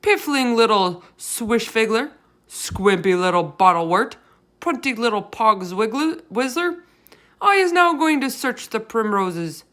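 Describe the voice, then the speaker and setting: A woman voicing a huge, brutish man-eating male giant for a storybook, booming and bullying in mangled grammar. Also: animated, measured pace, a bit bright. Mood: angry, insulting.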